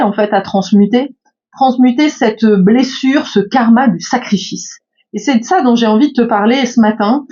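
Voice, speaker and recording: Hesitant woman with a mellow, muffled voice. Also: mid-range voice. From France. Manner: hesitant, many fillers and restarts. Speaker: spiritual coach. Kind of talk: casual reflective monologue. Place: her bedroom.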